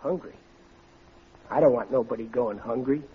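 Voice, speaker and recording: A man's voice: mid-range voice, moderate pace, breathy edge. An American man acting a blustering, gullible working-class husband in a 1940s radio comedy, prone to stammering and folksy grammar. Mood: sheepish. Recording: muffled old radio recording, studio audience, hiss.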